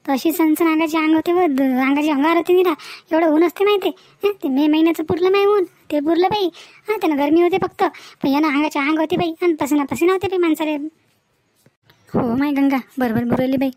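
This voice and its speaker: A woman playing a talkative, sharp-tongued village housewife in a comic cartoon, with a rural Varhadi accent from Maharashtra and an emphatic, gossipy manner. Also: high voice, fast, no echo, lightly acted. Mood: chatty complaining.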